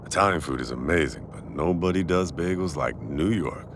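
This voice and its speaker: A man playing a warm, teasing restaurant owner with a secret crush in a casual, intimate boyfriend audio roleplay. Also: very deep voice, normal speed, noisy background. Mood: earnest, relaxed.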